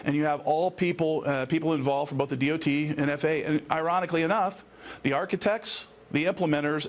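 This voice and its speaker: Earnest man explaining as interview guest. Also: on the high side. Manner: earnest, detailed, formal legal phrasing. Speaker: attorney and former air traffic controller, interview guest. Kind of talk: explanatory news interview testimony.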